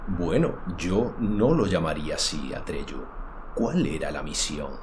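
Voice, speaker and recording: Un hombre haciendo de dragón sabio de voz muy grave. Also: male voice, expressive, measured pace, noisy background. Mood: calm, concerned. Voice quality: deep, resonant bronze voice. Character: huge weary male luck dragon, calm and wise. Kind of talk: audio drama dialogue.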